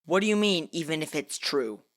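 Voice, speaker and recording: A woman's voice: sits low, animated, a little fast, pitched below the performer's own voice. A woman voicing a hurt, demanding teenage boy gang member, indignant and challenging, in a dramatised fanfiction reading.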